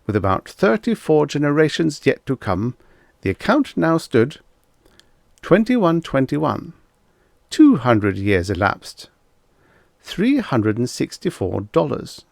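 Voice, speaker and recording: A man voicing a pompous professor of the future in an audiobook story, lecturing didactically with declamatory, deliberate emphasis. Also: a little high, wildly swooping pitch, vocal fry, no echo, studio quality, exaggerated voice. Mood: pompous, didactic.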